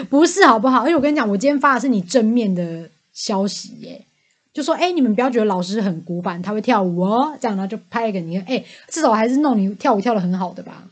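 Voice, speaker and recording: Fast-talking, animated Taiwanese Mandarin woman. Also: fairly high pitch. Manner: animated, blunt, swears freely, laughs often. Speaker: podcast co-host. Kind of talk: casual banter.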